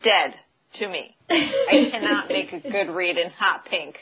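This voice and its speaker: A woman's voice: medium pitch, sing-song, a little slow, highs cut off, a crowd in the background. A woman hosting a podcast who chats casually and asks playful, joking questions. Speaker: podcast host. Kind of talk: casual chat.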